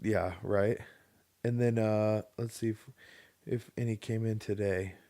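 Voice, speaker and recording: A man hosting a podcast in casual conversation, speaking in a halting, hesitant way with dry joking. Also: on the low side, steady tone, mellow. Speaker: podcast host.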